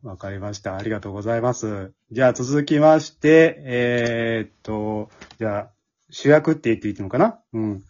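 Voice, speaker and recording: A man hosting a casual chat, chatty and quick to respond to the others. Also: medium pitch, a little mellow, highs cut off. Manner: chatty, backchannels often, laughs readily. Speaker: host and MC of an after-talk.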